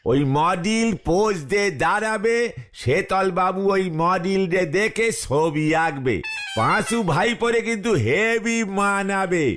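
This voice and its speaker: A sly cartoon shopkeeper played by a man drawls slowly. He is dryly mocking, and music plays clearly behind him. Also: high-pitched. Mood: confiding. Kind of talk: comic cartoon voice acting.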